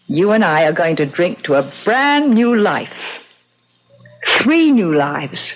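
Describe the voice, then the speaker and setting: A woman's voice: lowish voice, sing-song, slow pace, soft background music, rough recording. A woman playing a rich, decisive lady of the house in a 1970s radio drama, confident and commanding. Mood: commanding, self-assured. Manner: confident, commanding, matter-of-fact. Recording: old radio broadcast recording.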